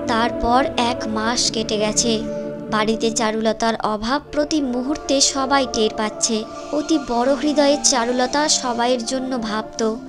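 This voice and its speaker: Higher-pitched woman narrating a story. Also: fairly even intonation, measured pace, over music.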